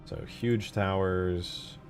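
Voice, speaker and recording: A man giving casual, low-key commentary as he works on a game mod, muttering to himself as he thinks aloud. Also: fairly even intonation, very slow. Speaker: game modder. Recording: music behind the voice. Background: clear background music.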